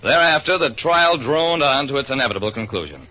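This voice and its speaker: A man's voice: mid-range voice, expressive, brisk, sharp tone, dull audio. An actor playing a wry private detective who narrates his case in 1940s radio drama style. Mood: dramatic narration. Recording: noisy vintage radio recording.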